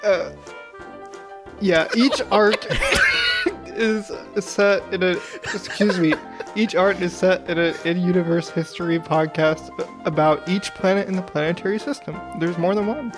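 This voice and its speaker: A woman's voice: fairly low pitch, wildly swooping pitch, unhurried. A woman delivering an informal podcast promo as a member of the cast. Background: music.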